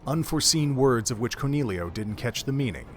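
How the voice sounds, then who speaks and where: A man giving scripted story narration for an audio drama in a measured, even way. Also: medium pitch, faint music behind.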